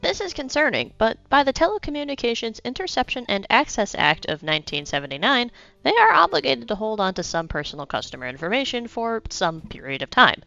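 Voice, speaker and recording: A woman's voice: fairly high pitch, sing-song, a little fast, gravelly, bright, forceful tone, highs cut off. A young woman hosting a podcast, narrating a script with a slightly congested voice from a cold and dropping in wry asides. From United States. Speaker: podcast host.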